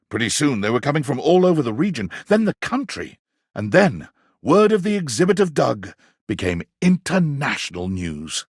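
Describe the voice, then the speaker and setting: An actor playing a florid, pompous male storyteller in a video game, narrating dramatically with arch wit and savored pauses in a husky voice. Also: medium pitch, no echo, clean studio sound. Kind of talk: theatrical scripted narration.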